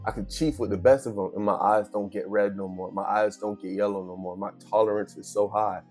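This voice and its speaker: A man's voice: on the low side, fairly even intonation, brisk, darkish tone, soft background music. A man in his thirties giving an unscripted motivational monologue, speaking emphatically in street slang, addressing the listener directly and repeating phrases to drive them home. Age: 30s. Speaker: vlogger giving a motivational talk.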